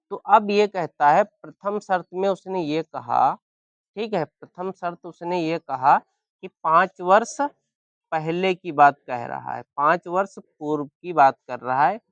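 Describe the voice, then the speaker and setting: Male teacher with a scratchy sore throat doing a maths class, kinda high voice, flat dull dry sound. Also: no echo. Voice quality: hoarse. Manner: keeps asking "clear?" and "any problem?". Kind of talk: blackboard maths lesson.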